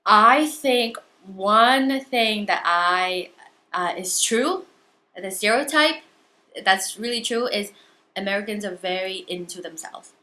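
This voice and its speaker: A young woman from southern China, a native Chinese speaker, telling her personal story candidly and haltingly in an interview. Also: medium pitch, expressive, pushed and bright, low-quality recording.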